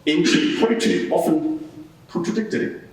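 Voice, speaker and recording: A man's voice: sits high, moderate pace, close to an everyday voice. A man playing a scornful Roman procurator, arguing in formal, rhetorical court style in a large echoing room. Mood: sceptical, probing. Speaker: advocate in a mock court inquiry. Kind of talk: courtroom cross-examination and closing submission. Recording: very echoey hall, far from the mic.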